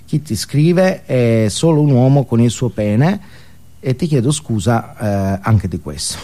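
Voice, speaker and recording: An actor playing a fawning, self-abasing lover in a theatrical comic monologue. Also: male voice, mid-range voice, expressive, measured pace, mellow, close to an everyday voice. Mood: rueful, tender. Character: fawning, self-abasing male lover declaiming an obscene love letter. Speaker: stage actor performing monologues.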